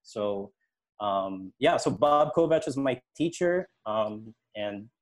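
A man's voice: on the low side, animated, slow. A middle-aged man talking casually as an interview guest, explaining and recounting his own experiences. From Indonesia, Hong Kong and the Philippines. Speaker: interview guest, sports programme organiser and futsal official.

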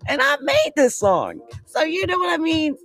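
Hoarse giggly astrology vlogger. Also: high-pitched, huge pitch swings, moderate pace, fairly bright tone, soft background music. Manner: giggly, rambling, playful. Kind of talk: casual astrology chat.

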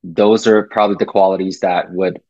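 Low-voiced man on a dull call line. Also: flat delivery, fairly quick, darkish tone, no echo. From Taiwan. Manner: reflective, with frequent fillers and restarts. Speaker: podcast guest. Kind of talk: casual interview talk.

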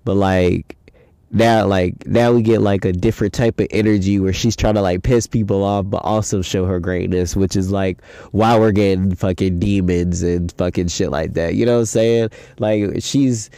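A man's voice: low-pitched, steady tone, normal speed, warm tone, clear, resonant tone, no echo. A young man hosting a casual solo podcast, talking in a loose, rambling, slangy way with a stuffy nose from a head cold. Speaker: podcast host.

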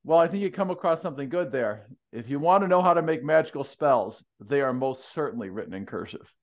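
A man's voice: mid-range voice, animated, fairly quick. A man co-hosting a casual discussion, with a big voice and a dry, wry humor. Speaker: podcast co-host.

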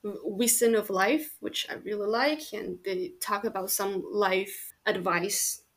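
A young woman from China speaks English with a Chinese accent, her native language being Chinese. Her voice sits at a middle pitch for a woman. She answers interview questions earnestly, pausing often and reaching for words. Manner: earnest, hesitant with many pauses and fillers. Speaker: guest.

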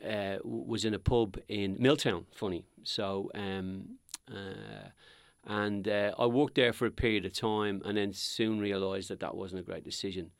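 A man's voice: fairly low pitch, steady tone, measured pace, vocal fry, hardly any pauses, clear, resonant tone, no room sound, studio quality. A middle-aged man from Dublin with a Dublin accent, telling his life story as a podcast guest with lots of ums and restarts. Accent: Dublin Irish. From Ireland. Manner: rambling, many ums and restarts. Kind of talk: casual podcast interview.

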